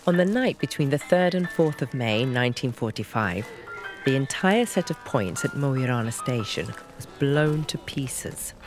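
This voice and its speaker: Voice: medium pitch, animated, normal speed. Scripted narrator over music. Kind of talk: scripted audio drama trailer narration.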